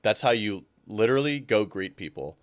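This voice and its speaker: A man's voice: lowish voice, moderate pace, dry recording, clean studio sound. A young man, a fitness coach guest, chatting casually and animatedly, with frequent fillers. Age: young adult. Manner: animated, fills speech with "like". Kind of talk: casual chat.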